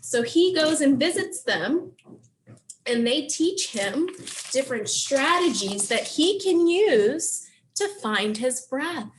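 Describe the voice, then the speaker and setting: Slow, higher-voiced woman hosting preschool story time. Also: sing-song, slight background noise, rough recording. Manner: simple, encouraging explanations aimed at young children. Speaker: children's library program host. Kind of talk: preschool story time, songs and book talk.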